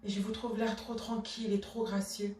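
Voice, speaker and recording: Casting: woman as proud, wronged Renaissance duchess, higher-pitched, imperious stage declamation, echoey room. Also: steady tone, takes it slowly, a little hiss or hum. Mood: cold, menacing. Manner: imperious, declamatory, formal address. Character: proud, outraged Renaissance noblewoman, an insulted duchess wife. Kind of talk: theatrical classical stage monologue. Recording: echoey room, distant microphone.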